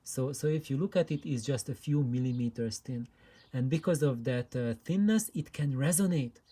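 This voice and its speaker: A man giving an unscripted explanatory demonstration, talking in a deliberate, halting way as he presents. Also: mid-range voice, warm tone, slightly rough. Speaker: presenter of hobbyist audio videos.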